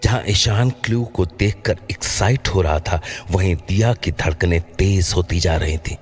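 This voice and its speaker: A man with a very low-pitched voice narrates a scripted horror story. Clear background music plays behind his storytelling. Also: moderate pace.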